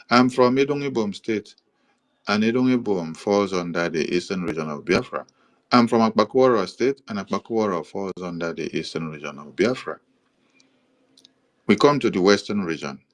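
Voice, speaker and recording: Man, Nigerian accent, lowish voice, explanatory monologue, repetitive steady listing, occasional hesitations. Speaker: commentator presenting a map.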